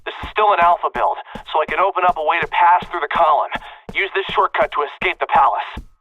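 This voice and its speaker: A man's voice: on the high side, fast talker, dry recording, soft background music, natural voice. A man playing an urgent, impatient young guide in an anime-style video game, with a slightly muffled sound. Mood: urgent, commanding.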